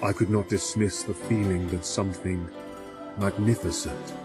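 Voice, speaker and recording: A man playing a solemn war veteran, delivering scripted dramatic narration of a grim space chronicle in a measured, theatrical way. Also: low-pitched, fairly even intonation, natural voice. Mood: somber, reflective. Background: loud music.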